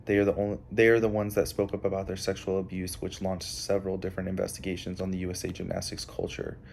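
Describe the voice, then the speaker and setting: A young man giving a prepared analysis as a student presenter, halting often with filled pauses. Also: deep voice, monotone, gravelly, a little mellow, a little hiss or hum. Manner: halting, hesitant delivery with frequent filled pauses.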